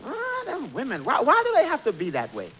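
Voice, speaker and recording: An actor playing a droll tavern employee, a wry underdog who answers with dry wisecracks in 1940s radio comedy style. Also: male voice, extremely high pitch, huge pitch swings, fairly quick, pushed and bright, husky, heavily acted. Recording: dull 1940s radio recording.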